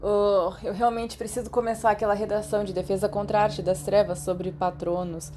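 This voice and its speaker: A Brazilian woman narrator playing a chatty, eager teenage schoolboy in an audiobook, with lively, emphatic delivery. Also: medium pitch, a little fast, lightly acted. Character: eager, chatty teenage boy athlete and student. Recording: clearly noisy background.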